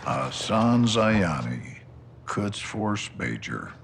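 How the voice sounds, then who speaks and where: An actor playing a gruff, impatient senior American general who talks bluntly and with authority in a military video game. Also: male voice, on the low side, sing-song, extremely slow, slight background noise, heavily acted. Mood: grim, measured. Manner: blunt, brusque, profane, authoritative. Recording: loud music behind the voice.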